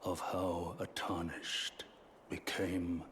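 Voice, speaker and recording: Very slow, deep, solemn fantasy narrator. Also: male voice, flat delivery, slightly rough, exaggerated voice. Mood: solemn, grave. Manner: hushed, grave, drawn-out phrasing. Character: solemn, ancient male narrator of a dark fantasy legend. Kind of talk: scripted dramatic game narration.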